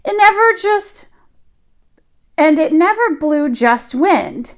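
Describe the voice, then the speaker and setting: A woman reading a picture book aloud like a teacher at storytime, expressive and deliberate for young children. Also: high voice.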